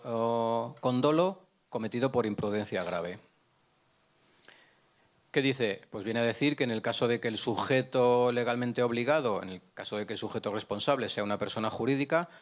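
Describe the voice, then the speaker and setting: A middle-aged man speaks into a handheld microphone in a conference room, lecturing at an ordinary pitch. He explains patiently, poses questions and answers them himself, often restarting a phrase before finishing it. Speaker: prosecutor giving a conference talk. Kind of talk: lecture with slides.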